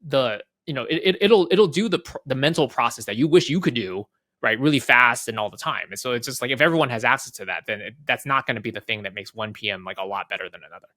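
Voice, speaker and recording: Man, interview guest, medium pitch, dry close sound, conversational explaining, halting repetitions, frequent tag questions. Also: bright, forceful tone, dry recording. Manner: halting, repeats words, frequent "like" and "right?" tags. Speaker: interview guest, head of product at a software company.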